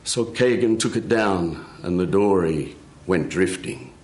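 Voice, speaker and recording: A man telling a folk tale live in a measured way, with dramatic pauses. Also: deep voice, animated, darkish tone, echoey room. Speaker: storyteller. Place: radio studio with live audience.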